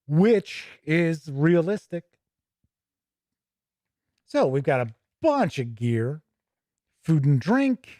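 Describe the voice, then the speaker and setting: A man's voice: fairly high pitch, mellow, no echo. A man hosting a tabletop gaming show, giving casual, chatty, rambling commentary off the cuff. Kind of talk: casual unscripted commentary while leafing through a book.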